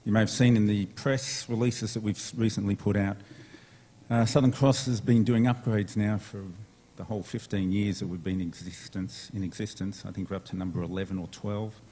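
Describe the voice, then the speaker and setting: A man from Sydney with an Australian accent, giving a relaxed conference presentation full of fillers. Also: lowish voice, fairly quick, husky. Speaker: conference speaker.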